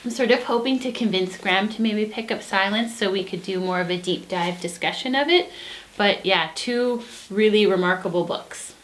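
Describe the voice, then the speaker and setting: A woman's voice: mid-range voice, normal speed. Earnest woman chatting about books. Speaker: book review co-host. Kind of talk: casual book recommendation chat.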